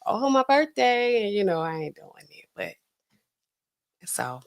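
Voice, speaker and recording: A woman, hosting a casual livestream and chatting through viewer comments in a sassy, animated way. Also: mid-range voice, unhurried, gravelly. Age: 40s. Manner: chatty, sassy, animated swings between loud and hushed. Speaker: livestream host.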